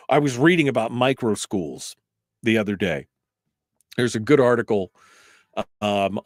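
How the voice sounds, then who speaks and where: A man's voice: mid-range voice, no room sound, studio quality. A male talk radio host speaking live in an emphatic, conversational, rambling way, giving his opinions and asking rhetorical questions.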